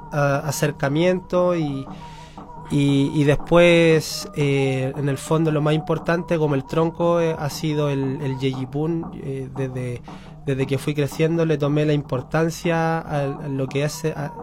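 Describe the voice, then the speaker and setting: A man, a radio guest telling his story in a reflective way with many pauses. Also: on the high side. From Chile. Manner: reflective, pauses with frequent fillers. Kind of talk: radio interview, personal story.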